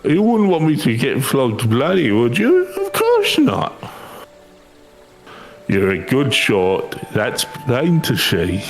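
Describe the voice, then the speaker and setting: A man voicing a rough, drunken treasure hunter from a fantasy game, bragging and wheedling in slangy, theatrical speech. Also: medium pitch, huge pitch swings, slow, faint music behind, pitched above the performer's own voice, full character voice. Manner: slangy, theatrical, swooping delivery. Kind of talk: improvised reading of game dialogue.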